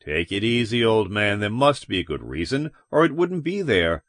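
Man playing a calm, dismissive store owner. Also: lowish voice, no room sound, studio quality. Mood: nonchalant. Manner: unhurried, nonchalant.

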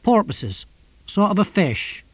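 A man acts out a bluff ship's captain for a fantasy audiobook. The voice is raised and high for a man. He is eager, talkative and anxious to please his betters. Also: sing-song, takes it slowly, breathy edge, dry recording, exaggerated voice.

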